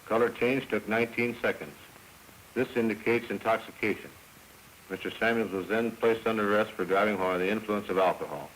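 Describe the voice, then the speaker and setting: Lowish-voiced policeman giving formal testimony. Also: fairly quick, fairly bright tone, rough recording. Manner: matter-of-fact, official. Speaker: police officer. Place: courtroom. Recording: vintage film sound, faint hiss.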